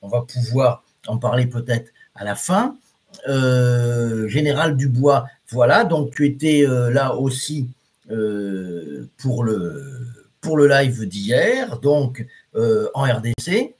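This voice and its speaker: A man's voice: medium pitch, expressive, slow, darkish tone. A man teaching reflexology on a live stream, talking casually to his viewers with many fillers and restarts and reading out their comments. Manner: reads viewer comments aloud.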